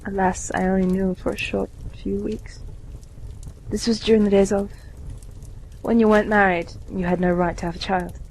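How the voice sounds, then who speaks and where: A woman playing a kindly, weary widowed lady in an amateur radio play, with a measured, genteel delivery. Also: medium pitch, little pitch movement, a little mellow, a little hiss or hum, natural voice, poor audio. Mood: sorrowful.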